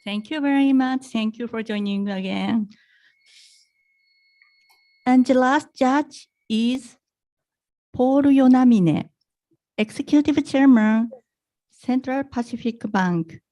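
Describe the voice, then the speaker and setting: A woman's voice: on the high side, takes it slowly, long pauses, mellow. A woman hosting an event with formal introductions, speaking politely and carefully. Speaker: event host.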